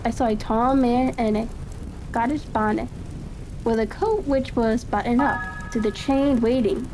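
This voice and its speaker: Female narrator, soft-toned and high, tranquil ASMR storybook reading, slightly halting, faint crackling ambience behind. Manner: unhurried, halting, stumbles and corrects words. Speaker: audiobook reader. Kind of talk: calm ASMR-style reading of a classic mystery story. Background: fireplace crackle ambience.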